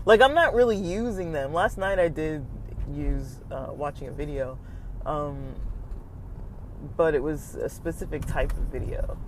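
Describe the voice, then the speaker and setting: A young man talking casually in a personal vlog, hesitant and rambling with many fillers, his throat slightly scratchy. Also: a little high. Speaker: vlogger.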